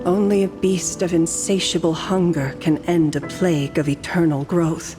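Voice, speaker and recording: A woman voicing a serene, millennium-old painter and guardian in a video game, calm, formal and lyrical. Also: on the low side, moderate pace, natural voice. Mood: solemn, foreboding. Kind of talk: poetic scripted game dialogue. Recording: music under the voice.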